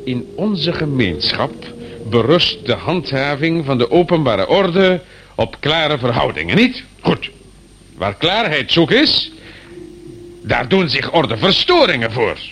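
An actor in a radio play playing a fussy, officious police inspector, insistent and mock-courteous, on a noisy old recording. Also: male voice, fairly high pitch, sing-song, takes it slowly, fairly bright tone, full character voice. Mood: exasperated, lecturing. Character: officious, exasperated, fatherly male police inspector.

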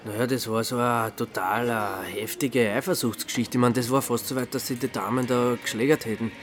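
Casting: actor as awkward Austrian newcomer, mid-pitched, halting and sheepish, music behind, radio drama. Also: male voice, steady tone, natural voice. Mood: hesitant, curious. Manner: halting, colloquial. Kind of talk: German radio play, casual dialogue.

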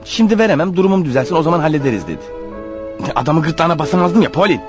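Actor as harried, fast-talking husband, dull recording. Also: male voice, a little high, expressive, natural voice. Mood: defensive, weary. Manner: pleading, exasperated, defensive. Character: harried, proud, defensive unemployed husband. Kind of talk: radio theatre acting. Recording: dull lo-fi radio play recording, faint music.

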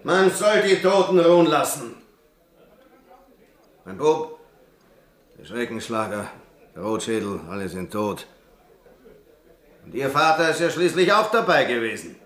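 A man's voice: medium pitch, big pitch swings, a little slow, long pauses, a bit bright. Radio drama elderly bereaved father, evasive, very varied intonation, roomy sound. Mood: mournful, reproachful. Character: grieving old village father, evasive.

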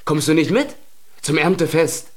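An actor playing a teasing, boisterous and easily alarmed farmhand in a children's radio play. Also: male voice, fairly high pitch, expressive, moderate pace, a little mellow, no echo. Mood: teasing, breezy.

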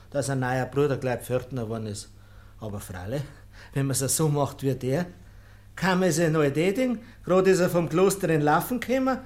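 An actor playing an envious, gossiping lay brother in a radio play, needling and insinuating with a Bavarian accent. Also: male voice, a little high, lightly acted. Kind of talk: radio play dialect acting.

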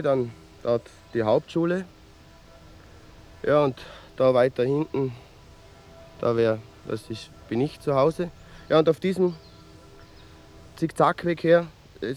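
Halting local man played by an actor, music behind. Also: a little high, a little mellow, close to an everyday voice. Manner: halting, searching for words. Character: local man guiding a reporter, halting and plain-spoken. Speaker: local eyewitness. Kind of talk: acted on-location radio interview.

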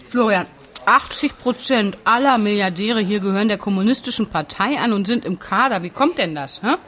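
An actress playing an indignant, stubborn German expatriate in a conversational radio drama, talking bluntly and insistently. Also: female voice, medium pitch, expressive, normal speed, a bit bright, slight background noise, lightly acted. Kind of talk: conversational documentary drama, arguing.

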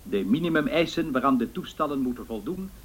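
An actor playing a solemn 1930s radio newsreader in a radio drama, announcing in a measured, formal way. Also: male voice, mid-range voice, lively intonation, natural voice.

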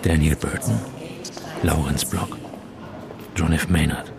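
A brooding, gravelly-voiced man. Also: very deep voice, fairly even intonation, slow pace, crowd noise, full character voice. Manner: inward, terse, reflective. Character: brooding man torn between love and distrust. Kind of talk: radio drama acting.

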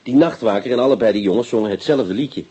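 An actor playing a sceptical, level-headed police inspector in a Dutch radio play, with dry, ironic reasoning. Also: male voice, medium pitch, quick pace, warm tone, husky.

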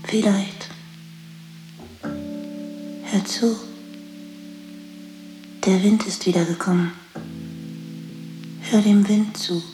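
Slow, hushed, dreamy lover. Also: female voice, medium pitch. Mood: wistful, distant. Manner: hushed, measured, even. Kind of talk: poetic radio drama dialogue. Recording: echoey studio, music behind.

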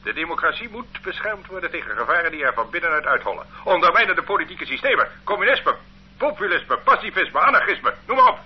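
A man's voice: on the high side, big pitch swings, quick pace, a little hiss or hum. An actor playing a stern male state police interrogator in a radio play, cold and accusing. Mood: contemptuous. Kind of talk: radio drama acting. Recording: dull lo-fi old radio recording.

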